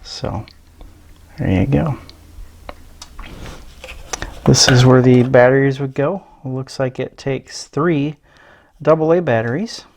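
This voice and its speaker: A middle-aged American man giving a casual, unscripted product walkthrough in a low-key, hesitant way. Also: slow, long pauses, a little mellow. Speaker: gadget reviewer. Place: small indoor room at a tabletop. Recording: clear background noise with clicks.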